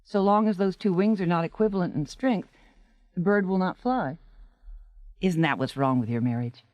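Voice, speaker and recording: An actress in a 1980s radio drama playing a seasoned stage actress, a frank and supportive friend with an emphatic manner. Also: female voice, fairly low pitch, moderate pace, a little mellow, dry recording, lo-fi sound, close to an everyday voice. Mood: earnest, firm.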